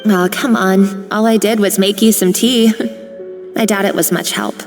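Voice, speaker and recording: Brief: actress as dry-witted, kind kitchen colleague. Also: female voice, medium pitch, steady tone, measured pace, a little mellow, natural voice. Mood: wry. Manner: dry, caring. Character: kind, sardonic young female cook. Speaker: video game character. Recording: reverberant game audio with music.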